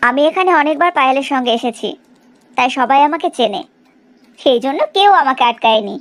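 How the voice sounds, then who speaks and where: Lady voicing confident pert young bride to be, cartoon style, coaxing, super high voice. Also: expressive, a little fast. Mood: composed, coaxing. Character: bold, teasing, scheming young bride-to-be. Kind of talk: cartoon drama dialogue.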